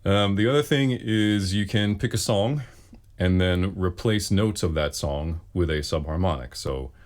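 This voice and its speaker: A man with a very deep bass voice, a singer casually answering questions with wry, self-deprecating humor. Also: a little slow. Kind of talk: casual Q and A talk.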